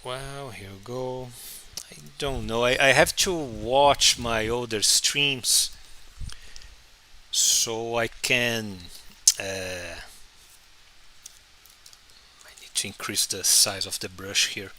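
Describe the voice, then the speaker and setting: A man whose first language is Portuguese, chatting casually on a live stream while he draws, halting and restarting often. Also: medium pitch, steady tone, sharp tone, a little hiss or hum. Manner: halting, many restarts and fillers. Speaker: comic artist streaming his drawing.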